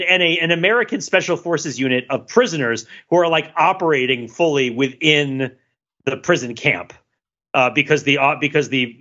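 A man's voice: mid-range voice, bright, forceful tone. A man co-hosting a podcast, thinking aloud in a casual analytical chat, full of fillers and restarts. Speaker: podcast co-host. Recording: dull lo-fi podcast audio.

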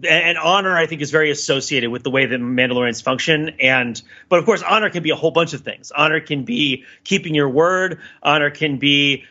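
A man co-hosting a podcast in casual, analytical discussion, thinking aloud haltingly and often checking in with "right?". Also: fairly high pitch, sharp tone, lo-fi sound. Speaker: podcast co-host.

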